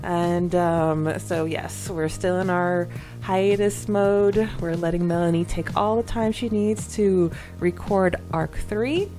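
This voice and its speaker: Woman podcaster, unhurried pace, chatty and giggly, faint music underneath. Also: on the low side, fairly even intonation, dark tone. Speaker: podcast co-host. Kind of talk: casual podcast chat, reading listener comments.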